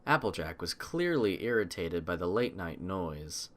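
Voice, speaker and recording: Expressive male audiobook narrator. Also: deep voice, moderate pace.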